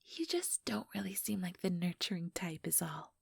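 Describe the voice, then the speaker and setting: A woman voicing an ambitious, teasing vampire in an ASMR roleplay, breathy and whispery, with a sugary, mocking condescension. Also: fairly low pitch, sing-song, normal speed, no echo, clean studio sound, full character voice. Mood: smug, scheming. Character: ambitious, teasing female vampire, smugly scheming.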